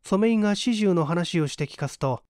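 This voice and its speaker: A man's voice: sits high, expressive, fairly quick, a little mellow, no echo, clean studio sound. A man narrating a literary story aloud in a dramatic reading style. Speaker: audiobook narrator and stage performer.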